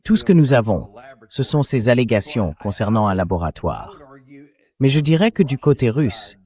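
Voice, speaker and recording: A man hosting a political interview in a measured, articulate way, read by a synthetic translation voice. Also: medium pitch, darkish tone. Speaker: interview host.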